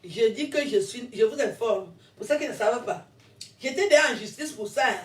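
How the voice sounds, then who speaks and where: Combative french-born woman in her 50s, high voice, rambles and laughs mid-rant, roomy echo. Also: lively intonation, a little fast. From France. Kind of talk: heated monologue to camera. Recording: roomy echo, distant from mic.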